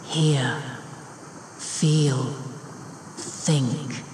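A woman playing a serene, ancient goddess of light in a video game, solemn, deliberate and ethereal. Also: low voice, steady tone, darkish tone, roomy sound, a little hiss or hum. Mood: serene, welcoming. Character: serene, ancient luminous female goddess of the crystal.

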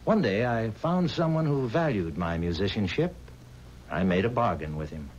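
An actor playing a haunted, pleading musician bound to the devil, speaking earnestly and formally in dramatic 1970s radio style. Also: male voice, fairly low pitch, animated, moderate pace, darkish tone, natural voice. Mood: grave. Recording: dull, hissy 1970s radio recording.

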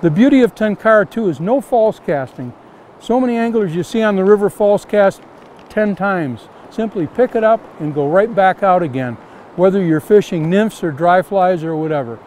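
Older male instructor with a high voice, outdoors in a river on a lapel mic, faint background hum, repeating simple casting steps. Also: animated, normal speed, a little mellow. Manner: plain, repetitive step-by-step instruction. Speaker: fly fishing instructor.

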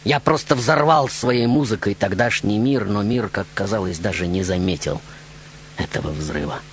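A man hosting a radio show, delivering a scripted literary monologue in a dramatic, deliberate, theatrical manner. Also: fairly low pitch, a little hiss or hum. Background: music behind the voice.

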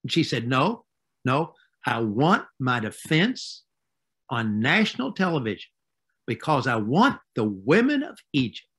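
Slow, deliberate older man. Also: medium pitch, very animated, a bit bright, breathy edge. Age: 70s. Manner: halting, deliberate, with weighty pauses. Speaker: retired university president, guest speaker. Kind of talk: reflective remarks in a panel tribute. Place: home study with bookshelves, indoors. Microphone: video call computer microphone.

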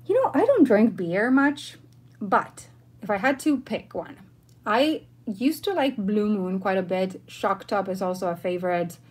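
A woman's voice: medium pitch, lively intonation, a little slow, a little mellow, husky. Chatty woman from Argentina, livestreaming. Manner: informal, chatty, friendly address to viewers. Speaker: livestream host. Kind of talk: casual livestream chat, answering viewer questions. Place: bedroom at home, indoors.

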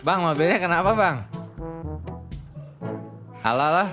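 A man's voice: on the high side, animated, measured pace. A man doing playful, slangy gameplay commentary as a YouTube host. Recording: music behind the voice.

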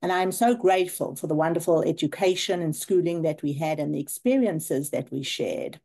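A woman's voice: sits low, lively intonation, normal speed, creaky, dark tone. A woman in her fifties with a South African accent, giving a partly prepared personal life update to a group on a video call. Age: 50s. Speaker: class reunion participant.

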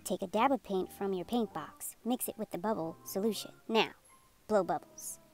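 A woman narrating a children's read-aloud in an expressive, deliberate storytelling manner. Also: medium pitch. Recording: music behind the voice. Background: music.

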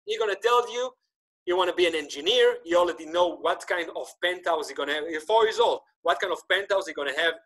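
A man in his thirties whose first language is Hebrew, hosting a podcast over a video call, talking with animated gestures and frequent restarts. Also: sits high, quick pace. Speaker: podcast host. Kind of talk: casual interview chat. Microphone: video call webcam.

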